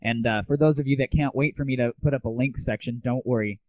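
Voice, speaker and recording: A man's voice: mid-range voice, quick pace, dry recording. Muffled lo-fi recording of an irreverent man hosting. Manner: crude, sarcastic, irreverent. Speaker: host of a solo internet audio show. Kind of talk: casual scripted monologue about games and the internet. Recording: muffled lo-fi 1990s internet audio, dry.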